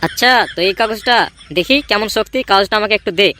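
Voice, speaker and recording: A man playing a suspicious cartoon boy. He speaks quickly in a bright, forceful voice, pushy and accusing. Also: sits high, steady tone, slight background noise. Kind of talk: amateur comedy cartoon dub.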